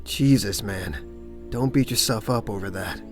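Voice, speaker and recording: A man's voice: on the low side, a little slow, music in the background, close to an everyday voice. A man playing a level-headed cop surviving a zombie apocalypse in a comic dub drama. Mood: reassuring.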